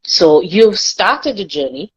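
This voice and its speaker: A woman offers heartfelt encouragement as a guest. Her voice sits a little low and sounds dry and close. She speaks earnestly with an upbeat lift. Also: very animated, takes it slowly, slightly rough, no echo, highs cut off. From Trinidad and Tobago. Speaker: wellness guest. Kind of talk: encouraging advice on a live stream.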